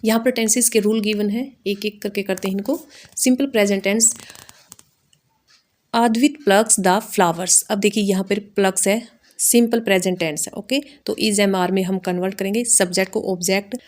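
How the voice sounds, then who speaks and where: An Indian woman gives a lesson in the manner of a grammar teacher. Her voice sits at a middle pitch. She explains each step in an instructional way, repeating key points and adding short tags to check understanding. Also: steady tone, moderate pace, a little mellow.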